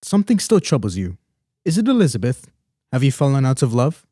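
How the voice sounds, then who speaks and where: A man's voice: medium pitch, wildly swooping pitch, a little fast, mellow, breathy edge, no echo, studio quality. A man acting a concerned, probing father of a grown son in a radio play. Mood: concerned, gentle.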